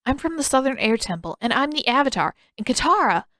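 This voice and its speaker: A friendly young boy, voiced by a woman narrator in a story reading. The pitch is lifted well up and the manner is youthful and eager. It sounds close and dry. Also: very animated, quick pace, bright, forceful tone, no room sound, full character voice.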